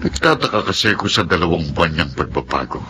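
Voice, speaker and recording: A middle-aged father and boss in a radio drama. He is low and strongly inflected, concerned and authoritative as he questions, with music behind. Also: very animated, fast talker. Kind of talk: Tagalog radio drama acting.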